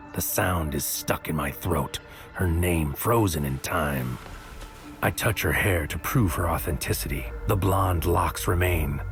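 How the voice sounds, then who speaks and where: Man acting as tormented narrator, low-pitched, brooding, poetic and weighty, sci-fi audio drama, clear music underneath. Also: fairly bright tone. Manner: poetic, weighty inner monologue. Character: Maru, tormented brooding man narrating his own surreal story.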